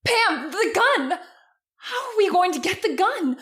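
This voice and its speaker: A woman's voice: very high voice, very animated, unhurried, fairly bright tone, heavily acted. An actress playing a timid, frightened woman accomplice, hesitant and panicky, in old radio suspense. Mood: panicked.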